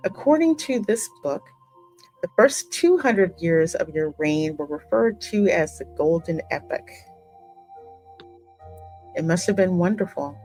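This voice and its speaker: A woman with a slightly low voice hosts a game playthrough. She reads story lines theatrically and drops into casual commentary, with soft music underneath. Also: lively intonation, unhurried, pauses often, low-quality recording. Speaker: gaming channel host. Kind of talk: reading game dialogue aloud with casual reactions.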